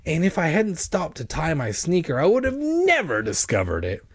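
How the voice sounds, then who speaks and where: Man doing a storybook boy hero, raised pitch, very animated, defensive, whiny, insistent. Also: moderate pace, breathy edge, dry recording, full character voice. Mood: excited, proud.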